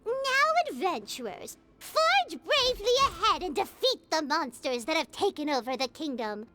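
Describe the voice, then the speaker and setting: A woman voicing a tiny, excitable fairy in a video game, theatrical and given to playing the pompous goddess. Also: very high-pitched, normal speed. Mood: grand, then relieved. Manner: excitable, theatrical, refers to self in third person.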